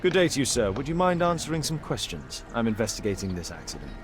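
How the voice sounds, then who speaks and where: An actor playing a sharp, formal Victorian gentleman detective in a video game, with a British accent and precise, authoritative delivery. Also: mid-range voice, very animated, fast, over music, natural voice. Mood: brisk, commanding. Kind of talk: dramatic video game acting.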